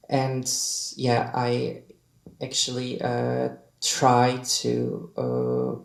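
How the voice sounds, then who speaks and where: A panelist talks slowly about an art installation in hesitant non-native English. The voice is gravelly and flat, with little rise and fall. The room around it rings with echo. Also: mid-range voice. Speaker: panelist, artist. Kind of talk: panel discussion.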